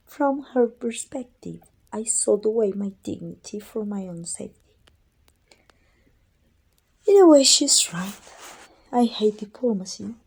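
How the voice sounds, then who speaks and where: A woman playing a proud, weary evil queen and sorceress in an ASMR roleplay, with a husky, breathy voice and an imperious, deliberate delivery. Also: mid-range voice, darkish tone. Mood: bitter, resentful. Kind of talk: scripted ASMR roleplay acting.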